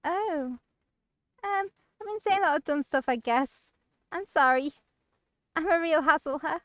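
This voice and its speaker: A woman's voice: high-pitched, a little slow, a bit bright, dry recording, voice pushed up. A woman voicing a timid, insecure young girlfriend in a visual novel, meek, hesitant and apologetic.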